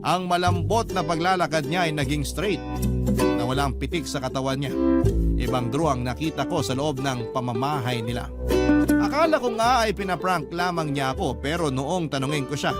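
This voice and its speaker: A man's voice: a little high, lightly acted. A man with a Filipino accent narrating a radio drama as a sincere, wistful letter-writer telling a friend's story. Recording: loud guitar music under voice.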